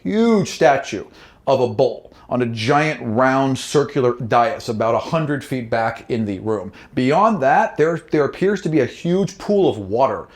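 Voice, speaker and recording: A man's voice: medium pitch, expressive, measured pace. A man acting as game master, improvising fantasy narration in a casual, conversational, occasionally profane way. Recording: roomy, echoing room sound.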